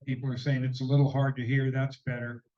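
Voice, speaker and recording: A man co-hosting a neighborhood homeowners' meeting in a senior center hall, talking haltingly with many fillers and a slight cold. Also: mid-range voice, level tone, mellow, lo-fi sound. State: sneezing, slightly congested. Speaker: meeting co-host.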